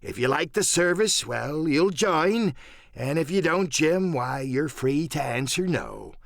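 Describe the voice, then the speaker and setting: A man voicing an older pirate captain in an audiobook, sly and genial yet menacing, drawling sailor's slang in unhurried phrases. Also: fairly high pitch, sing-song, creaky, dry recording, clean studio sound, pitched above the performer's own voice, exaggerated voice. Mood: smoothly threatening. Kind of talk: audiobook radio-play character acting.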